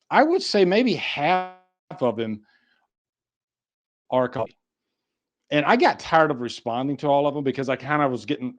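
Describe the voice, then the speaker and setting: Casual male podcaster, mid-range voice, conversational and meandering, easy with profanity. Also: mid-range voice. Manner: rambling, conversational, swears casually. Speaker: podcast host.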